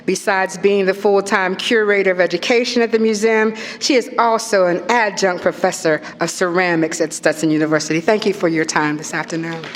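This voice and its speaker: A woman's voice: fairly low pitch, steady tone, brisk, few pauses. A woman presenting an arts panel, introducing the speakers and reading their bios. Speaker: event presenter. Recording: long hall reverb with music.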